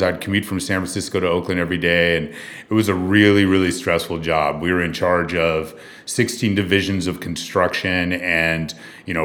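A man with a very low voice talks through his life story as an interview guest. He is from Southern California, and his relaxed answers are full of ums and uhs. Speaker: guest filmmaker.

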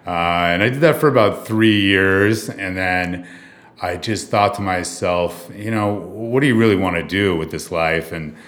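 A man from Southern California, a filmmaker guest in an interview, telling his life story in a relaxed way with many ums and uhs. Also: sits low, measured pace. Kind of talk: conversational interview answers.

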